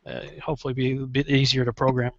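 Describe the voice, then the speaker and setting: A man's voice: mid-range voice, flat delivery, fast talker, no room sound. A man explaining an idea casually, hesitant and stammering, on a dull lo-fi recording.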